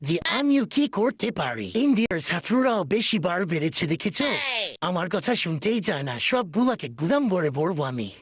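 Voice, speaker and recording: A man's voice: high-pitched, sing-song, a little fast, husky, no echo, full character voice. A man voicing a boastful, lovestruck male political leader in a comic cartoon parody, wooing and bragging in exaggerated style. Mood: boastful, menacing.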